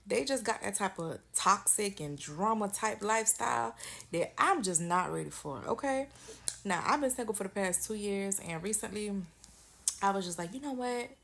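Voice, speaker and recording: A woman's voice: medium pitch, expressive, moderate pace, vocal fry, a bit bright. A woman telling a chatty, sassy story to her viewers. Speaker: storyteller vlogger. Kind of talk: casual storytime.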